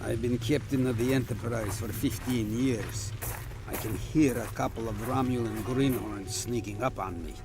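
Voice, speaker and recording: Gravelly, wry veteran starship captain, acted by a man. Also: mid-range voice, normal speed, music in the background, close to an everyday voice. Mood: teasing, assured. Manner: dry, bantering. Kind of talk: audio drama acting.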